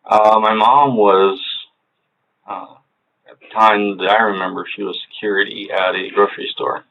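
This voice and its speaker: Man in his forties from Hawaii, very low voice, halting answers, phone-thin audio. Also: little pitch movement, creaky, a little hiss or hum. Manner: hesitant, halting, many fillers. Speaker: interrogation subject. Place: police interview room. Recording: tinny low-fidelity room recording.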